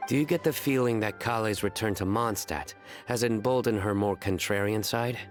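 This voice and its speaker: A man voicing a stern young man in a video game, terse and deadpan. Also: on the low side, moderate pace, close to an everyday voice. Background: game music.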